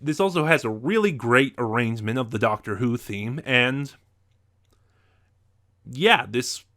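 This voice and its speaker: A man's voice: medium pitch, lively intonation, unhurried, a bit bright. A man presenting a semi-scripted review to viewers as a video host.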